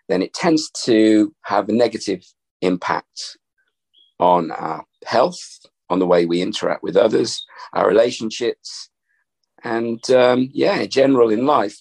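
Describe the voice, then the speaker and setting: Gravelly, husky man hosting a podcast. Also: lowish voice, animated, measured pace, a little mellow, rough recording. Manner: thoughtful, rambling with restarts. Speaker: podcast host. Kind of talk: casual reflective chat. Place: upstairs room in family home.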